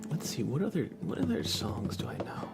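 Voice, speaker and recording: A livestream host speaking softly, often in a whisper, chatting casually and gently with viewers in an ASMR style. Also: medium pitch, very animated, a little fast, vocal fry. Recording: music behind the voice. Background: plucked guitar playing.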